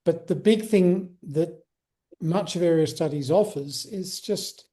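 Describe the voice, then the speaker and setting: A man hosting a podcast interview, speaking in measured, academic phrasing. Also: fairly high pitch, warm tone. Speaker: podcast host. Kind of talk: interview conversation.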